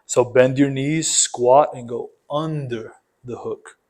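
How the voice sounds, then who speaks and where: A man's voice: medium pitch, fairly even intonation, slow, darkish tone. A man coaching a boxing workout, explaining it methodically, step by step, in an instructional style.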